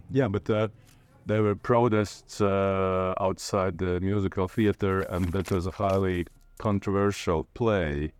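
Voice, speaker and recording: A man with a Latvian accent, a native Latvian speaker, talking reflectively in an interview and haltingly searching for words. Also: low-pitched, steady tone, no room sound. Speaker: interviewee, literature teacher and playwright.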